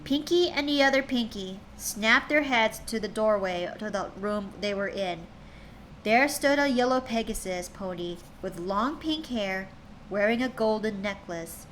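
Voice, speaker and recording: A woman's voice: mid-range voice, expressive, unhurried, fairly bright tone, a little hiss or hum, rough recording. A woman streamer reading fan fiction aloud to her chat, chatty and tripping over words now and then.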